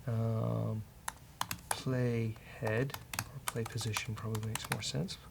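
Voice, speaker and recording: A man's voice: lowish voice, little pitch movement, slow pace, gravelly, heavy background noise. A man hosting a casual live coding walkthrough, thinking aloud and joking as he works. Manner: joking, bantering with co-host. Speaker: host of a programming show, coding live.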